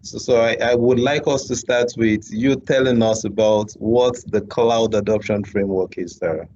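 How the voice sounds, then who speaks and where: A man's voice: fairly low pitch, fairly even intonation, moderate pace, darkish tone. A man hosting an interview show, speaking hesitantly with frequent fillers and repeated words. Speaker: show host. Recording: dull lo-fi audio, faint background hum.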